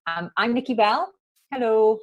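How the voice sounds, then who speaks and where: A woman's voice: on the high side, expressive, normal speed, no echo, dull audio. A woman from the northeast of England, co-hosting a webinar in a friendly, encouraging way. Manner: friendly, encouraging, laughs easily. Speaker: co-host of webinar. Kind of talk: casual webinar presenting.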